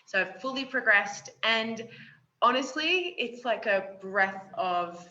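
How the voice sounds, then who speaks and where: A woman's voice: fairly high pitch, expressive, takes it slowly, bright, forceful tone. A woman, speaking openly and reflectively as an interview guest. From Australia. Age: 20s. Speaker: guest, yoga teacher and confidence coach. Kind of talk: interview conversation. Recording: roomy, dull sound.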